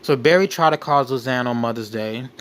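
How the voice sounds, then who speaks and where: Male host, mid-pitched, reads documents aloud and comments freely, halting and repetitive, faintly noisy recording. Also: fairly bright tone. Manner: halting, repeats and rephrases phrases. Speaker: late night show host.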